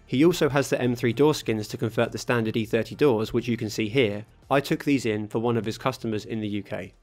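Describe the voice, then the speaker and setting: A man reading a scripted voice-over for his own car restoration video, calmly explaining each workshop step. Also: mid-range voice, brisk, faint music behind. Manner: steady, matter-of-fact explaining of workshop steps. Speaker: host of a car restoration channel.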